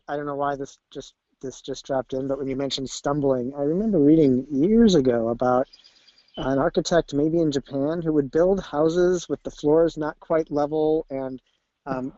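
A man calling in by telephone, sharing reflections casually and hesitantly, with long searching pauses. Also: a little high, dark tone, no room sound. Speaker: caller. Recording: slightly dull, dry phone sound.

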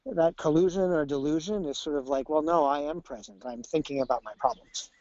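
A man calling in from outdoors, sharing casually and reflectively in a halting way with repeated words. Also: on the high side, a little mellow, dry recording. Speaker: caller to a group call. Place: outdoors in nature. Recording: dull phone line. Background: birdsong.

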